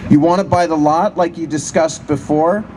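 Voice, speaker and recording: A man voicing a wary, deadpan online seller haggling in a story, dry and colloquial, at a live reading. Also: on the high side, moderate pace, voice pushed up, close to an everyday voice. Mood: firm. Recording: noisy live recording with steady ambient hum.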